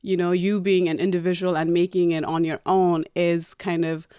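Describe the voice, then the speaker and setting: A woman in her thirties from Nepal, co-hosting a casual podcast, chatty and quick to laugh, often restarting her sentences. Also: fairly low pitch, flat delivery, warm tone, clear, resonant tone, no echo, clean studio sound. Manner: chatty, laughs easily, self-corrects and restarts. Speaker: podcast co-host. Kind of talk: casual conversational podcast chat.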